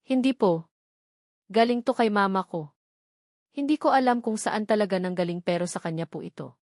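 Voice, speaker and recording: A woman's voice: medium pitch, normal speed, darkish tone, no echo, studio quality, natural voice. A woman voicing a meek young housemaid who speaks politely and pleads through tears. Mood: pleading.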